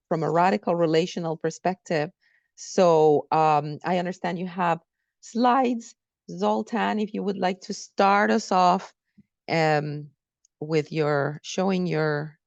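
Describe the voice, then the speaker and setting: A woman's voice: fairly low pitch, creaky, a little mellow, no room sound. A woman moderating an online seminar, introducing speakers in a friendly way with fillers. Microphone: video call. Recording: dull lo-fi call audio.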